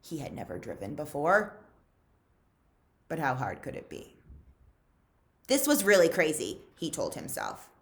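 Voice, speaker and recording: A woman reading a children's novel aloud as a librarian would, with measured, dramatic emphasis. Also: sits low, sing-song, gravelly. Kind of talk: expressive storybook read-aloud.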